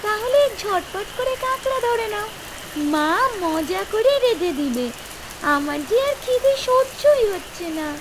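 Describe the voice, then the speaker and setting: A woman voicing an eager, hungry little village daughter in a cartoon fairy tale, with childlike, drawn-out pleading. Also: very high voice, animated, noisy background. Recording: loud rain and thunder behind.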